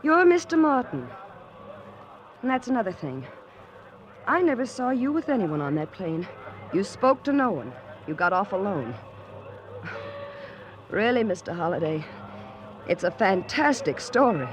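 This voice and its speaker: A haughty, scornful woman protests with highly animated intonation at a medium pitch. The 1940s radio drama has clear street noise behind her. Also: a little slow, long pauses, poor audio. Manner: indignant, crisply dismissive. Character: indignant, haughty young woman, brisk and scornful.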